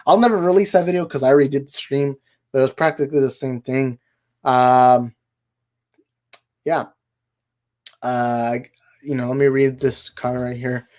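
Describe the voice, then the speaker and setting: A man hosting a casual live movie chat, his voice hoarse and worn out, rambling and correcting himself as he goes. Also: medium pitch, fairly even intonation, normal speed, long pauses, darkish tone. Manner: rambling, self-correcting, laughs easily. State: hoarse, tired voice. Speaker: livestream host.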